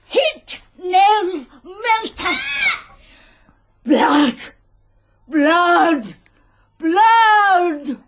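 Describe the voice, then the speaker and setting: A woman's voice: extremely high pitch, big pitch swings, very slow, pauses often, exaggerated voice. A woman playing a commanding witch who chants spells in a solemn ritual manner, in a vintage radio drama. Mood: frenzied. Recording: noisy old radio recording.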